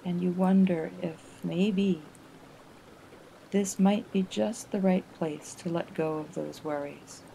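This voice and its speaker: A woman narrating a guided relaxation exercise in a gentle, soothing manner, with unhurried phrasing and pauses. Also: lowish voice, fairly even intonation, gravelly, slight background noise, low-quality recording.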